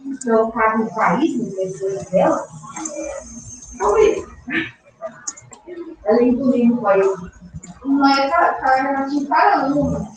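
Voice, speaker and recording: High-pitched joker heard from far off. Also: animated, slow pace, long pauses, noisy background. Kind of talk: joking chatter. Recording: echoey room, far from the mic.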